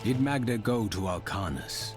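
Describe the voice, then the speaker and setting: A man's voice: lowish voice, animated, moderate pace, lightly acted. A man voicing a grim, blunt warrior hero in a fantasy video game, speaking in a solemn, terse, formal way. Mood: stern. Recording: loud music behind the voice.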